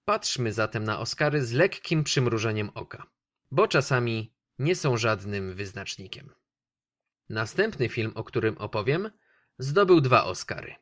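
A man's voice: medium pitch, very animated, measured pace, a bit bright. Conversational male radio film reviewer. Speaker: radio show host presenting films.

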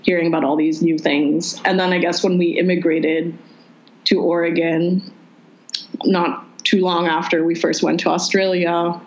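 Chatty young woman from the Philippines. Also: normal speed. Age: young adult. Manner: chatty, animated storytelling with many "like"s. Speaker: interviewee. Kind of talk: casual chat. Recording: muffled low-fidelity audio.

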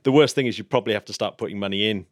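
A man hosting a space podcast in a casual, chatty way, laughing often and throwing in quick asides. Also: lowish voice, dry recording, studio quality. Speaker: podcast host. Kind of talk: casual chat.

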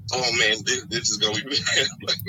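A man from Atlanta with an Atlanta Southern accent, a podcast guest talking in a rambling, animated way and laughing often. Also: lowish voice, fairly quick. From Atlanta, Georgia. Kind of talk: casual storytelling chat. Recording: phone call with faint background noise.

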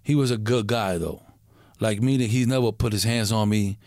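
A middle-aged man from Puerto Rico, a guest in a casual interview, who talks in a halting way, repeating words and using street slang. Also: lowish voice, a little mellow, dry recording, clean studio sound.

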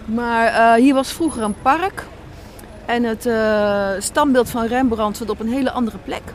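A woman's voice: fairly high pitch, measured pace. A woman giving thoughtful, conversational interview answers, searching for words as she goes. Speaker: interview guest, writer and director. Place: on location at an Amsterdam café and square. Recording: noisy on-location recording with city ambience.

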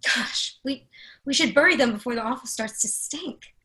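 A woman's voice: on the high side, normal speed, pushed and bright. A woman playing a sensitive, anxious office worker in a play reading, with a fretful, hesitant delivery. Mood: distressed.

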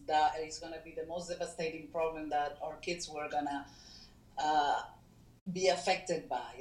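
A middle-aged woman from Venezuela, a guest co-founder of a foundation, speaking natively and talking in an earnest, halting way with many fillers. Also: deep voice, roomy sound, low-quality recording. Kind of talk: casual interview talk.

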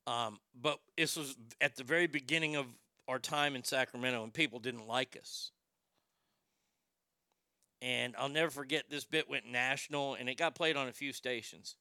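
A middle-aged man hosting a radio show, telling crude, brash stories in a high tenor voice. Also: moderate pace, clean tone, no echo, clean studio sound. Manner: crude, brash, animated storytelling with profanity. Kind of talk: casual storytelling monologue.

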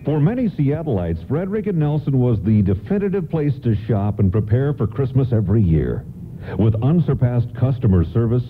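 A man's voice: lowish voice, slight background noise. A man working as a radio announcer, reading polished scripted narration and promos. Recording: narrow-band radio broadcast over loud music. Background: prominent music.

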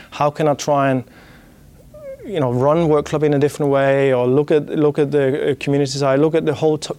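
A man's voice: mid-range voice, darkish tone. A man with a Danish accent giving a business talk, thinking aloud with many restarts and "you know" fillers.

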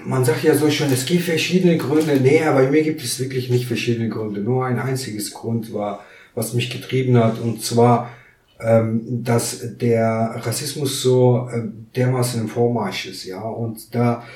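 A man in his thirties, a podcast guest, answering earnestly and haltingly with many fillers. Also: mid-range voice, fairly even intonation, a little mellow. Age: 30s. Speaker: podcast guest, council member. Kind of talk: casual interview answers. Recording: roomy echo, mic at a distance.

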